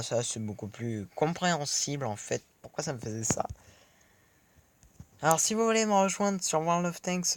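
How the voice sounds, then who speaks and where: A man's voice: mid-range voice, no room sound. A man giving rambling let's play commentary over his tank game, chatting to his viewers between shots. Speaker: gaming video creator.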